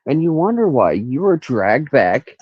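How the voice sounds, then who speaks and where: Slow, nasal-voiced man streaming outdoors. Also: medium pitch, very animated, breathy edge, dry recording, muffled recording. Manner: rambling, stops to look things up. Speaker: true crime streamer. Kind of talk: casual livestream commentary. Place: outdoor garage carport in the countryside. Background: crickets and cicadas.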